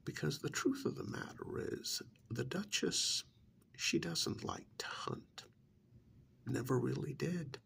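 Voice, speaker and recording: A man playing a chatty, gently scolding vampire envoy in a soft-spoken ASMR role-play, with a breathy whisper and deliberate pauses. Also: a little high, heavily acted. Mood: confiding, soothing. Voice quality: breathy whisper, gravelly fry. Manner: slow, deliberate, drawn-out pauses, conversational.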